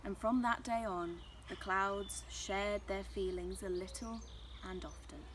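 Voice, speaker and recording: A woman's voice: mid-range voice, steady tone, measured pace, a bit bright, a little hiss or hum. A woman narrating a scripted children's story as a storyteller.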